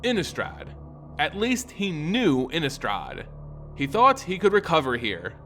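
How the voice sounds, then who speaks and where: Male narrator for dark fantasy lore: higher-set voice, very animated intonation, brooding and theatrical. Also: measured pace, a little hiss or hum. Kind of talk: dramatic scripted story narration.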